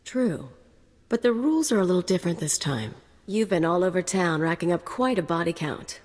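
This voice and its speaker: A cocky female mercenary with a very low voice and flippant, sardonic delivery. The game audio trails into a long reverb. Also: lively intonation, fairly quick, darkish tone.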